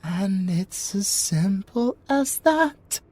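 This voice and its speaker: British man playing a very slow, seductive siren lover. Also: high-pitched, big pitch swings, a little mellow, exaggerated voice. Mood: doting, teasing. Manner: slow, breathy, seductive crooning with drawn-out pauses. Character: possessive, doting, menacing male siren lover. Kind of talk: intimate ASMR audio roleplay.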